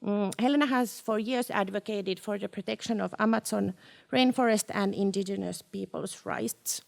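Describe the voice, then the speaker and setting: A woman's voice: medium pitch, normal speed, gravelly. A woman giving a prepared welcome as host of a public discussion. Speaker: event host and project manager. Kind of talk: prepared welcome speech to an audience.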